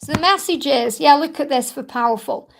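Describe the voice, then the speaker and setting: Woman host with a high, gravelly, croaky voice giving reassuring card readings on a live stream. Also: brisk, background noise. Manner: soothing and reassuring, repeats phrases often. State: croaky, tired voice from much talking.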